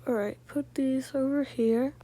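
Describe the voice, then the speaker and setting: A soft-spoken woman casually narrating her own gameplay in a low-key roleplay style, often dropping to a whisper. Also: fairly even intonation, unhurried, rough recording. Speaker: gaming video creator voicing her own gameplay.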